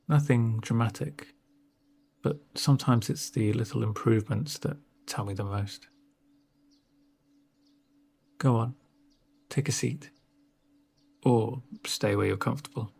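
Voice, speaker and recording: A man speaking softly in an ASMR roleplay as a doctor, with a gentle, reassuring, unhurried manner and a breathy voice. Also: mid-range voice. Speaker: ASMR performer playing a doctor. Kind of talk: soft-spoken ASMR roleplay.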